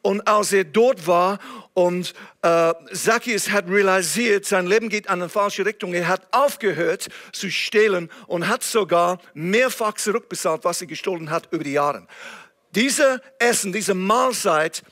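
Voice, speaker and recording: A man's voice: high-pitched, measured pace, fairly bright tone. A man from England preaching a sermon in German with an English accent, earnest and now and then searching for words. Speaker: guest preacher.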